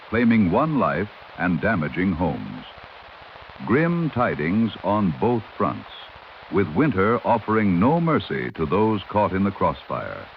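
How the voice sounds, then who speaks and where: A man reading scripted news as a vintage 1930s radio announcer, formal and dramatic in an old-fashioned way. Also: sits low. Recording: muffled vintage radio sound with hiss.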